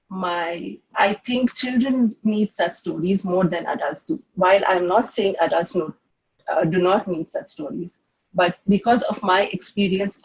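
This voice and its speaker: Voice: medium pitch, husky, highs cut off. An adult children's author who stammers, answering at a panel with frequent repeated sounds and hesitations.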